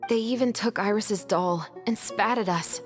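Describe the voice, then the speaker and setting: A woman's voice: medium pitch, moderate pace, close to an everyday voice. A woman voicing an observant, resolute young daughter, protective of her sister, in a dramatic video game. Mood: indignant. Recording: long reverb, music underneath. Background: music.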